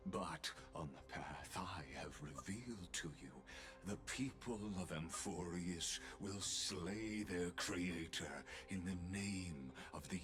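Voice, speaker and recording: An extremely deep-voiced, scheming royal counselor from a video game. He speaks slowly and theatrically, with silky formality, trailing into breathy half-whispers over clear background music. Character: sinister, courtly male counselor, grandiose and scheming. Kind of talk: dramatic video game voice acting.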